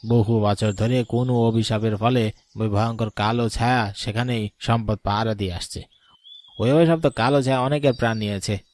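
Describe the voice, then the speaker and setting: A man's voice: fairly low pitch, steady tone, normal speed, a little mellow, no room sound, natural voice. A man playing a grave older village uncle who knows about spirits, speaking solemnly in a dramatic cartoon story. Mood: grave, warning.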